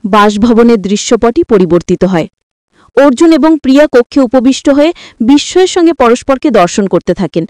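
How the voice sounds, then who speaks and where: Clear, expressive woman storyteller. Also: fairly high pitch, normal speed, no room sound. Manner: formal, literary wording read out with dramatic dialogue. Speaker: storyteller, narrator. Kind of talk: scripted moral story narration.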